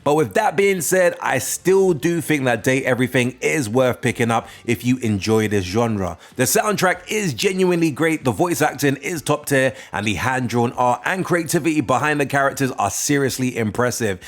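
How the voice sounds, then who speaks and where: A man's voice: mid-range voice, lively intonation, moderate pace, few pauses. Male game reviewer, informal scripted narration. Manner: informal, conversational opinion-giving. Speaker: game reviewer and channel host.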